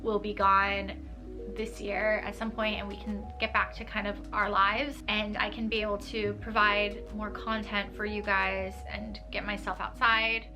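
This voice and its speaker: A woman's voice: mid-range voice, little pitch movement, a little slow, over music. Chatty young New woman vlogging. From New York. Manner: chatty, uses "like" a lot, laughs lightly. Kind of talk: casual talk to camera. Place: small bedroom with desk and tapestry, indoors.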